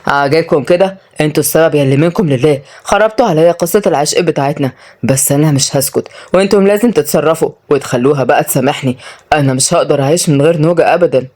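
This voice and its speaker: A woman voicing a lovestruck, excitable Egyptian grandfather in a storybook, earnest and dramatic. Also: deep voice, racing. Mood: angry pleading. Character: lovestruck, excitable grandfather, sporty and easily flustered.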